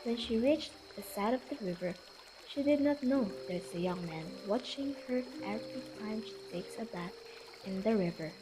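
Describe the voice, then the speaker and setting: A woman's voice: on the high side, expressive, normal speed, low-quality recording. Woman narrating a legend over music. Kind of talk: scripted storytelling narration for an amateur radio drama.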